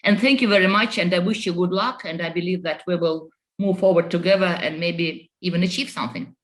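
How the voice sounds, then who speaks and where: Woman giving a conference speech. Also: fairly low pitch. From Estonia. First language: Russian. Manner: earnest, halting with fillers and restarts. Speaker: member of parliament.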